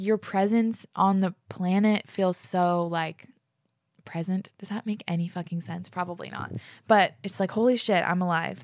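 Young woman with a gravelly edge, reading letters. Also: mid-range voice, no echo, clean studio sound. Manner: reads listener messages aloud, then reacts candidly with swearing and "like" fillers. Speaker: podcast host. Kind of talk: casual podcast reading listener stories.

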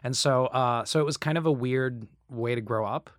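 A young man from Florida chats casually as a podcast guest, trading quick, wry jokes. His voice sits at an ordinary middle pitch. The studio booth sounds close and dry, with no room echo. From Florida, USA. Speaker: podcast guest, stage actor. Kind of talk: casual chat. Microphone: studio microphone.

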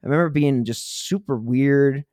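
A man with a medium-pitched voice speaks as a podcast host in conversation. He is earnest, colloquial and ready with his opinions. The sound is dry, with very little room around the voice. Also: normal speed, darkish tone, dry recording. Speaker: true crime podcast host. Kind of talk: casual conversational interview talk.

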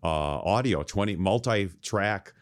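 A man's voice: on the low side, a little slow. A man of nearly seventy hosting a podcast, chatting casually with easy laughs and many fillers. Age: 60s. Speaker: podcast host. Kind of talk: casual chat.

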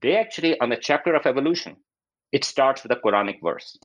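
A man's voice: medium pitch, expressive, quick pace, fairly bright tone, lo-fi sound. A man in his fifties with a Pakistani accent, an academic explaining research in an interview and often acting out quotes as he goes. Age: 50s.

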